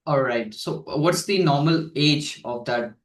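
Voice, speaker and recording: A man's voice: fairly high pitch, lively intonation, a little slow. Guy interviewing, rambly with lots of "like you know", echoey room. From India. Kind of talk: casual interview conversation. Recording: roomy echo.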